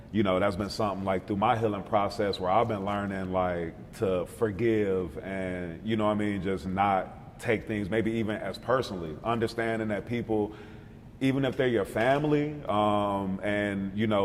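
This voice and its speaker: A man's voice: fairly low pitch, fairly even intonation, moderate pace, in a large hall, a little hiss or hum. A man hosting a casual interview, laid-back and affirming, with plenty of fillers.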